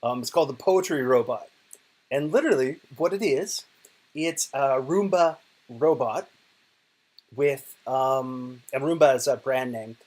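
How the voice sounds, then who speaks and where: A man's voice: medium pitch, unhurried, darkish tone. A middle-aged man from Oklahoma with an American accent, giving a casual, chatty video talk about his work from bed while ill, with many fillers and restarts. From Oklahoma, United States. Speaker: digital poet and professor. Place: bedroom, lying in bed. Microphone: self-recorded video.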